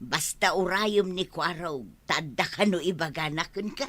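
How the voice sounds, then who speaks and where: An actress playing a teasing, gossipy older village auntie with a Filipino Ilocano accent, full of exclamations, in an audio drama. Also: female voice, on the low side, moderate pace, a bit bright.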